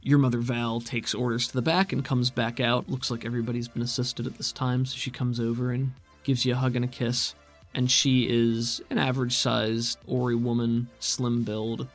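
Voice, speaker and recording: A man narrating a tabletop roleplaying game as game master in a descriptive, measured storytelling manner. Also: mid-range voice, flat delivery, soft background music.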